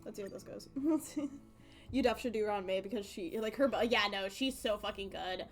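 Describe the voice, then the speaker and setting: A woman's voice: medium pitch, brisk, faint music behind. A woman live-streaming a game, chatting casually in a rambling, sweary, giggly way.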